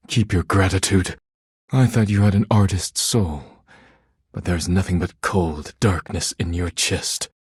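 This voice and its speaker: A man playing a proud, thin-skinned poetic living dish in a video game, speaking in a formal, deliberate, grave manner. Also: sits low, fairly even intonation, husky, no room sound, studio quality, exaggerated voice. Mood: wounded, cold. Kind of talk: video game character acting.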